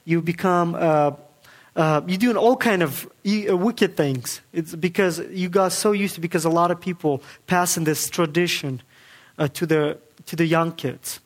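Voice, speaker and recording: Earnest man. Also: fairly high pitch. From Kazakhstan. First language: Kazakh. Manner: earnest, halting, with many fillers and restarts. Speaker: guest speaker giving testimony. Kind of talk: church testimony.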